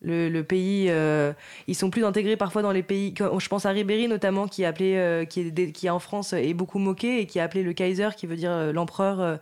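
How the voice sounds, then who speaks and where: A panelist on a TV discussion who talks rapidly and restarts sentences often. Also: mid-range voice, fairly even intonation, darkish tone, clean tone.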